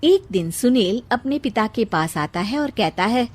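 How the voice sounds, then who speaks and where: A woman's voice: on the high side, expressive, measured pace, darkish tone. A woman narrating a scripted moral story as a storyteller.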